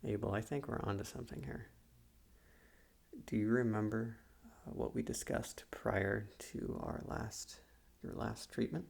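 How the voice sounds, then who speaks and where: Creepy calm shrink guy someone acting it out, asmr roleplay, super slow and hushed, dark gravelly fry in the voice. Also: medium pitch, a little hiss or hum. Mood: probing, measured. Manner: deliberate, hushed, soothing with long pauses. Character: calm, sinister male psychiatrist coaxing a patient, softly menacing.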